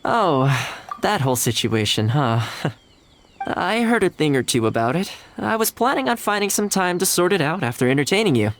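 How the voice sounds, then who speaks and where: A man's voice: a little high, big pitch swings, normal speed, fairly bright tone. A man playing a friendly, patient young retainer in a video game, speaking in an easygoing, conciliatory way. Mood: easygoing, helpful. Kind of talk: video game character acting.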